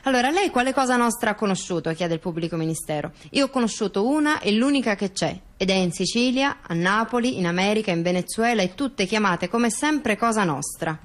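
A woman's voice: medium pitch, lively intonation, fairly quick, few pauses, natural voice. A woman stage performer, reciting court testimony in a theatrical dialogue. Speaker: stage performer in a two-person radio theatre piece.

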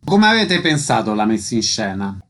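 A man's voice: fairly low pitch, sing-song, normal speed, fairly bright tone. A man hosting a radio interview, asking questions and giving announcements.

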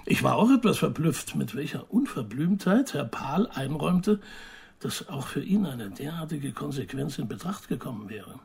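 An actor playing a formal, observant journalist who narrates his interviews in a German radio play in measured, precise sentences. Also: fairly high pitch, creaky, darkish tone, slightly rough, exaggerated voice.

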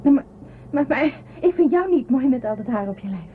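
Careful young astronaut in a radio play, high voice, phone-like and hissy. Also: female voice, expressive, fairly quick. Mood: unsure. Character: young female space explorer, cautious, earnest and hesitant. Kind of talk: science fiction radio drama acting. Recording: thin phone-like band, clearly noisy.

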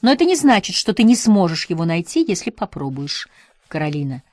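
A woman narrator voicing a young man who quietly presses an older woman about his absent father. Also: fairly low pitch, very animated, fairly quick, no echo, clean studio sound. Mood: probing. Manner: subdued, questioning, hurt.

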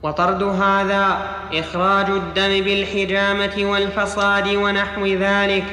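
High-pitched man reciting formally in echoing hall. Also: monotone, normal speed, bright, forceful tone. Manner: formal recitation, repeating phrases when corrected. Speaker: student reader. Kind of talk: reading a classical religious text aloud in a lesson. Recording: echoey hall with long reverb.

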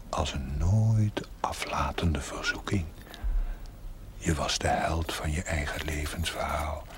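An actor playing a solemn, mysterious, probing voice of conscience in a 1970s television drama, grave and half-whispered. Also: male voice, very deep voice. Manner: slow, grave, knowing. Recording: noisy old television soundtrack.

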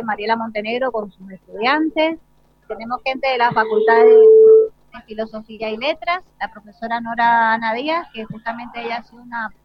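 A woman with an Argentine accent speaking as a school director in an unscripted live interview. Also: on the high side. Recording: dull lo-fi live broadcast sound.

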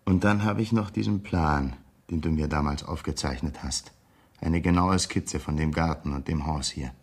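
A man acting in a radio drama as a cold ex-convict blackmailer, speaking in a measured, threatening and insistent way. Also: very deep voice, darkish tone.

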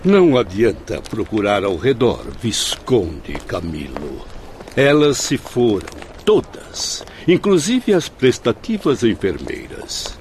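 A man's voice: mid-range voice, wildly swooping pitch, takes it slowly, darkish tone, a little hiss or hum. An actor playing a sarcastic, resigned male companion in a radio drama, speaking formal Portuguese with a Brazilian accent. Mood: resigned, ironic.

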